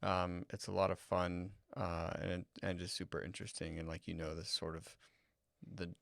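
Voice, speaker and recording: Dry, teasing man with a gravelly voice. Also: deep voice, little pitch movement, normal speed, fairly bright tone, no room sound. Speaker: podcast co-host. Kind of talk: casual podcast chat.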